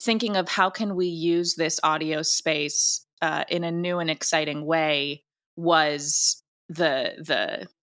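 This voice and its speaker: A woman's voice: sits low, slow. A woman answering interview questions as an audio drama creator, talking in an enthusiastic, gushing and emphatic way. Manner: gushing, emphatic, animated wording.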